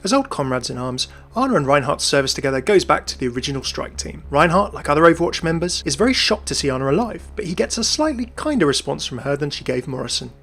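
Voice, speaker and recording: A man's voice: on the high side, big pitch swings, fast talker, hardly any pauses, fairly bright tone. A man hosting and narrating a scripted game lore commentary. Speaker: video host and narrator. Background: music.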